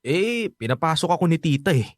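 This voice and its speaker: A man's voice: a little high, very animated, a little fast, no room sound, studio quality. A man playing a lazy, playful young Filipino student who wheedles and pleads, in radio drama dialogue with a Filipino accent. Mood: casual. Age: young adult.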